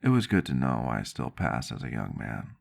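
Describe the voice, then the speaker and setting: A man plays a reflective male vampire doctor in an ASMR roleplay. His voice sits very low, with a heavy fry running through it. He tells his story gently, in measured and slightly antique phrasing. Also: big pitch swings, full character voice. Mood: wistful. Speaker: roleplay character telling a story.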